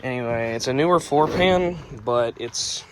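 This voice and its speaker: Young male vlogger, raspy from just waking up, mid-pitched, rambling with fillers, phone audio with faint background noise. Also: a little slow. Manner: rambling, filler-heavy, self-correcting. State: just woke up. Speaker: truck restoration vlogger. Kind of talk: casual garage vlog. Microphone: phone camera.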